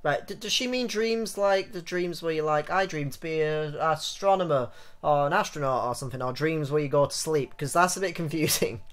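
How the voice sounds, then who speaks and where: A man hosting a let's play, mixing excitable, jokey commentary with dramatic reading aloud of the game's text. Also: on the high side, a little fast. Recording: game music behind the voice.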